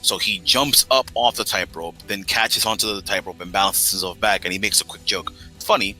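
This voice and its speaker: A man's voice: fairly low pitch, steady tone, brisk, sharp tone, faint music behind, rough recording. A man from the United States talking in casual chat, explaining steadily with stammering restarts.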